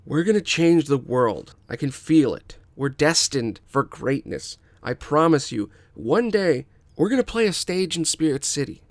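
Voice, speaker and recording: A man's voice: a little high, very animated, a little slow, few pauses, dry recording. A man playing an idealistic, protective young musician in a scripted table read. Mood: earnest. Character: idealistic, protective young male musician, older dreamer brother.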